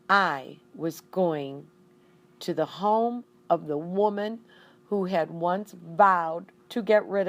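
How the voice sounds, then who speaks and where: A woman voicing an earnest, excitable young male pet hamster in a children's story, dramatic and emphatic. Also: lowish voice. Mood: anxious. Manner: dramatic, emphatic, repeating words for effect.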